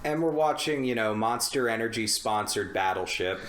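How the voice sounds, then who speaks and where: Halting, sweary man in a roomy space. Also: lowish voice, expressive, fairly bright tone. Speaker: podcast co-host. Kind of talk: casual movie discussion, profane banter. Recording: roomy, echoey podcast recording.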